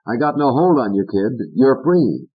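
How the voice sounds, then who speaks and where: A man's voice: mid-range voice, sing-song, unhurried, husky, highs cut off, pitched above the performer's own voice. A man voicing a blunt, stubborn old male postman in an audiobook. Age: older. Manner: blunt, plain-spoken.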